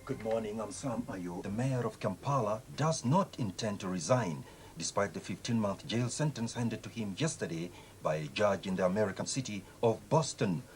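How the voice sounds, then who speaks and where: A man reading a radio news bulletin in a measured, formal way. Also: on the low side, lively intonation. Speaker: radio newsreader.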